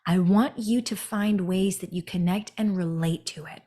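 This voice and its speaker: A woman from the United States hosts a podcast alone and tells her own story. She talks to the listener directly and keeps asking "right?" as she goes. Her voice sits at an ordinary middle pitch for a woman. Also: moderate pace, mellow. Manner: earnest, addresses listeners directly, often adds "right?". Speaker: podcast host. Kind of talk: personal storytelling monologue.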